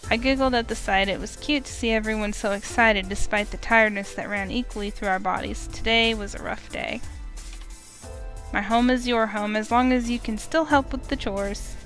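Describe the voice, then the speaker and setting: A woman's voice: fairly high pitch, fairly even intonation, normal speed, a bit bright, faint music behind. A woman streamer reading a visual novel aloud, narrating expressively in first person.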